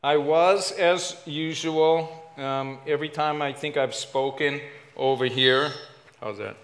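A man from Hawaii with a Hawaiian accent, filling in as guest preacher and giving a casual, halting sermon. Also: on the high side, fairly bright tone. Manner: casual, self-deprecating, halting with fillers.

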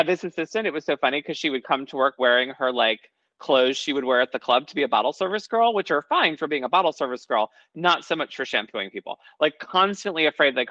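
Guy with a bright animated voice, blunt and sweary, kinda dull lo-fi mic. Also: medium pitch, quick pace, gravelly, few pauses, no echo. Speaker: podcast co-host. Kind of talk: casual recap chat.

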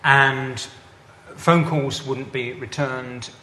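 A man's voice: medium pitch, steady tone, extremely slow, bright, forceful tone, a little hiss or hum. A man answering audience questions after a talk, thoughtful and hesitant, often repeating words as he searches for them. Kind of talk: lecture Q&A.

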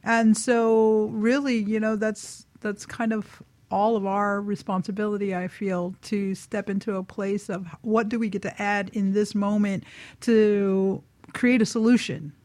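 A woman's voice: medium pitch, little pitch movement, unhurried, a little mellow, no room sound. A woman speaking earnestly and emphatically in a casual radio discussion, repeating phrases for emphasis.

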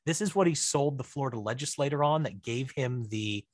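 A man with a mid-pitched voice chats as an interview guest on a podcast. He explains things in a casual, chatty way, branching off into asides. The sound is close and dry, with very little room around it. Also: fairly quick, dry recording. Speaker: interview guest, writer-director.

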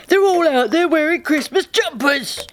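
A woman's voice: high-pitched, lively intonation, normal speed, voice pushed up. A woman voicing a furious male Cupid, an exasperated cherub who exclaims indignantly in a live storytelling. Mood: furious, squealing. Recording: faint noise, live theatre reading.

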